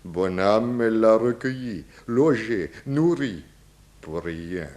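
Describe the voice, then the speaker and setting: An eccentric Russian man in an old French radio mystery. His delivery is slow and swings widely in pitch, grand and cryptic like a stage philosopher. The accent is Russian and the grammar is broken. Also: expressive, a little mellow. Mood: gossipy, mournful. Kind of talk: 1960s French radio crime drama acting.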